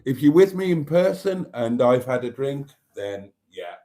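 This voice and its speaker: Welsh man, native Welsh speaker, very unhurried, live stream host, meandering talk. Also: mid-range voice, big pitch swings, dark tone. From Wales. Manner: musing, meandering, with many fillers and restarts. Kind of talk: casual live stream chat.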